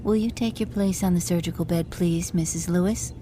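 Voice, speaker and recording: A woman's voice: lowish voice, steady tone, brisk, mellow, natural voice. A woman playing a polite alien surgeon in a science fiction audio drama, speaking in a formal, clinical manner. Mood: calm, clinical. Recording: clearly noisy audio drama track.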